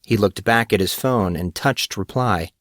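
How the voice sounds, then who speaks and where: A man's voice: lowish voice, unhurried, fairly bright tone, no echo, studio quality. A man reading a story aloud as scripted audiobook narration.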